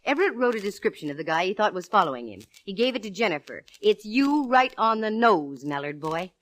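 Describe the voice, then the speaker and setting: An actress playing a cool, sassy, wisecracking private eye with wry, punchy delivery in 1940s radio detective drama. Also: female voice, medium pitch, very animated, fairly quick, close to an everyday voice. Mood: needling. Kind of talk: 1940s radio detective drama, first-person narration and dialogue. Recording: faintly noisy vintage radio recording.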